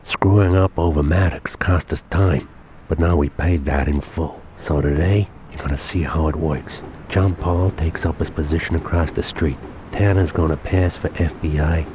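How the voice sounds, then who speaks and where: Very deep, menacing crime boss. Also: male voice, fairly even intonation. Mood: commanding. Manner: measured, authoritative. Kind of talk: video game voice acting. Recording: game cutscene with noisy ambience.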